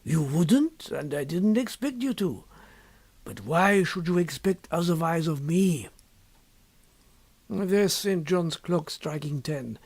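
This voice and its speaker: A man voicing a sly, impassive, secretive scholar in an audiobook story, with measured, deliberate and formal phrasing. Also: on the high side, lively intonation, gravelly, a little mellow, husky, no echo, exaggerated voice. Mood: teasing, impassive. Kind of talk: audiobook character dialogue.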